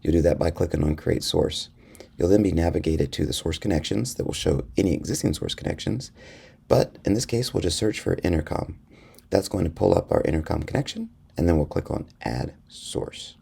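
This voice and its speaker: A man narrating an instructional software screencast in a measured, step-by-step explanatory way. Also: sits low, gravelly, husky. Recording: voice-over with faint background noise.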